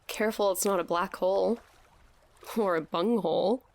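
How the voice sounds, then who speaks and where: A woman's voice: medium pitch, normal speed, darkish tone, natural voice. An actress playing a sceptical, wisecracking young woman in a scripted audio drama, with an incredulous, slangy, joking way of talking. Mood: wry, joking.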